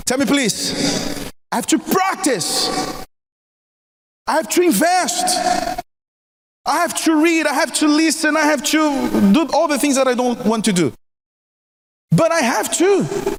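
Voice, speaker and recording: High-voiced Brazilian-accented man, emphatic. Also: long reverb tail, a little hiss or hum. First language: Portuguese. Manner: emphatic, repetitive, rhetorical questions. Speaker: speaker giving marriage advice. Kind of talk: recorded motivational talk.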